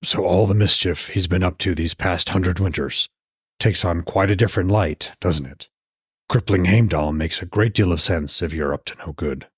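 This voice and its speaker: A man voicing a supportive, shrewd Norse goddess and wife in a fantasy audiobook, with gentle, probing, formal speech. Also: low-pitched, normal speed, breathy edge, dry recording, clean studio sound.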